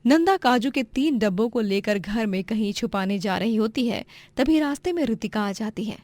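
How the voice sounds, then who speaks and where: A woman's voice: a little high, animated, normal speed, darkish tone, dry recording. A woman narrating a scripted moral story as the storyteller.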